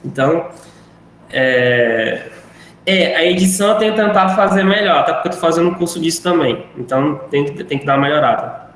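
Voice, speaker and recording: A man's voice: on the high side, expressive, a little fast, fairly bright tone. A male teacher wrapping up a live online class in a chatty, rambling way. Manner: rambling, chatty, self-deprecating. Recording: very echoey room, faint noise.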